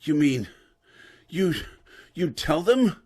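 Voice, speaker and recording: A man's voice: fairly high pitch, big pitch swings, takes it slowly, a little mellow, husky, exaggerated voice. A man playing an anxious, guilt-ridden professor who stammers hesitantly, in an old-time radio drama. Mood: panicked. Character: nervous, guilt-ridden male professor, reluctant rogue surgeon.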